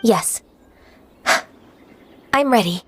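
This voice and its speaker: Gentle, timid half-adept. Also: female voice, fairly high pitch, expressive, extremely slow, noisy background. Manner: soft, polite, hesitant. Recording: game audio with music behind.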